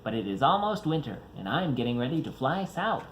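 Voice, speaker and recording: A man's voice: medium pitch, very animated, fast talker, roomy sound, slight background noise, natural voice. A man voicing a busy, polite and fond duck from a children's storybook. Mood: hurried, apologetic.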